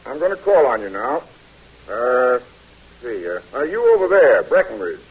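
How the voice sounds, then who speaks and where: An actor playing a firm, fatherly army language instructor in an old radio drama, husky, plain-spoken and admonishing, on an old radio recording. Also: medium pitch, very animated, normal speed, low-quality recording. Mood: businesslike. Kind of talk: old-time American radio drama acting.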